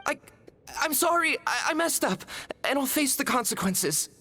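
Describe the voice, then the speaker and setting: A man's voice: very high-pitched, animated, normal speed, sharp tone, a little hiss or hum, heavily acted. An actor playing a timid, guilt-ridden young man in a video game, earnest and stammering. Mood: frightened, pleading.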